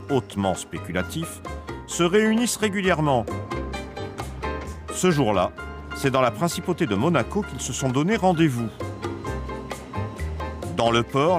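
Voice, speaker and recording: A man reading a scripted documentary narration in a measured, grave manner. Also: medium pitch. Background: music under the voice.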